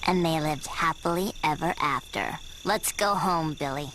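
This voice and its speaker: Low-pitched, grumpy bossy girl, played by a woman. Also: normal speed, heavy background noise, close to an everyday voice. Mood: weary. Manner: deadpan, impatient. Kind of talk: cartoon voice acting. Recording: loud music under the voice.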